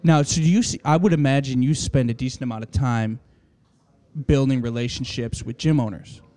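Easygoing man in his thirties, mellow voice. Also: medium pitch, brisk. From Michigan, USA. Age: 30s. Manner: easygoing, laughs often, says "man" a lot. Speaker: podcast host. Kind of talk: casual interview chat.

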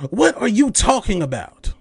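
A man in his late thirties rants in a high voice, heated and profane, and mocks his critics as he talks. The sound is dry and close, with no room around it. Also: very animated, moderate pace, creaky, slightly rough, no room sound. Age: 30s. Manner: heated, profane, mocking rant with mimicked quotes. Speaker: podcast host. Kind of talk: ranting commentary.

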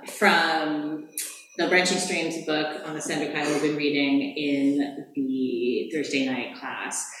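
A woman's voice: sits low, a bit bright, slight background noise. A woman student sharing in a group circle, rambling and searching for words. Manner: rambling and searching, says like often. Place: meditation hall. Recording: very echoey hall.

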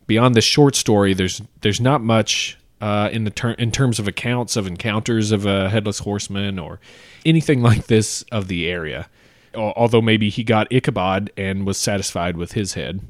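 A man with a fairly low voice co-hosts a podcast, picking apart a classic tale with lots of "uh"s and restarts. His voice sounds close and dry, with no room around it. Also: no echo. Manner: analytical, halting with many fillers and restarts. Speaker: podcast co-host. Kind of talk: casual literary discussion.

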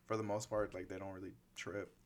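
A woman's voice: rumbling low, level tone, brisk. A woman in her late twenties hosting a casual podcast, chatting loosely with lots of fillers. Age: late 20s. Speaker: podcast host. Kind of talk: casual chat.

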